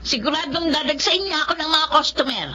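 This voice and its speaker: A woman's voice: high voice, fairly quick, crowd noise, close to an everyday voice. An actress playing a brazen, evasive Filipino woman who runs a cheap eatery, exclamatory and defensive in radio drama style. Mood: bossy, casual.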